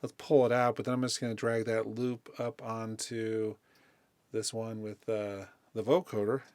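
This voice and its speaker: A man giving a casual, unscripted music software tutorial, thinking aloud in a conversational way as he demonstrates. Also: on the low side, level tone, measured pace.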